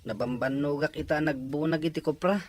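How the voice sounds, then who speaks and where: A man's voice: fairly high pitch, steady tone, fairly quick, darkish tone, lightly acted. A man playing a hardworking, stubborn young farm laborer with a Filipino accent in an audio drama. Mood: defensive. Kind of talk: Ilocano audio drama acting.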